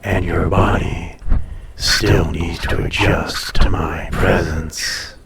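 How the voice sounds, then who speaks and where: Man acting as an ancient dragon, low voice, echoey space, breathy, formal, possessive, seductive, teasing menace, intimate audio roleplay. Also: steady tone, slow pace, distant mic, very noisy, heavily acted. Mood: protective, commanding.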